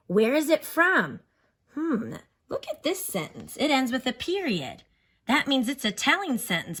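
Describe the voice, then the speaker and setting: A woman's voice: medium pitch, fairly bright tone. A woman teacher reads a children's book aloud expressively, stopping to explain words and pose questions in an instructive way. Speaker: elementary school teacher reading a picture book aloud.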